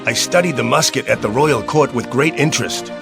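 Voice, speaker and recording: Earnest Korean officer, male actor for a war game. Formal, gravelly, dramatic, light music underneath. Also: medium pitch, fairly quick, close to an everyday voice. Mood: respectful, concerned. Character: earnest, loyal Korean army lieutenant. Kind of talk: dramatic video game cutscene acting.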